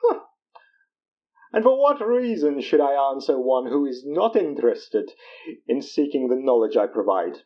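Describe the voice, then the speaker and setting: Man doing a theatrical mystic guru, swoopy grand delivery, husky mellow voice, audio drama. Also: medium pitch, sing-song, measured pace, dull audio. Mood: haughty. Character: pompous, theatrical male spiritual guru peddling remedies. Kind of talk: audio drama character acting.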